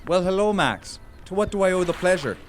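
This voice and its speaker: A man's voice: sits high, lively intonation, fairly quick, soft background music. A man playing a folksy, skittish dockside informant in a 1940s noir radio drama. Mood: affable.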